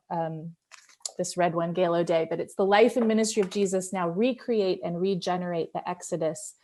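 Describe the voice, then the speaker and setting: A woman with a voice a little lower than most leads a church discussion group. She speaks informally and reflectively, like a pastor explaining scripture, and often checks in with a small tag question. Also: warm tone, a little hiss or hum. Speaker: group leader and pastor of a church Bible study. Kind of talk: casual Bible study discussion.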